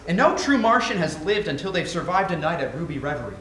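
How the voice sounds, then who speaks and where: A man acts out a charming, teasing sci-fi doctor with swooping, expressive delivery. The dialogue is playful and flirtatious, as in a stage play. It echoes in the room with faint noise. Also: on the high side, quick pace. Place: theatre stage. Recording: roomy theatre recording with faint noise.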